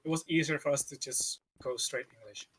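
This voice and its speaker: A man whose first language is Vietnamese, chatting casually as a podcast co-host and telling stories in an animated way. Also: mid-range voice, a little fast, husky. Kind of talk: casual chat.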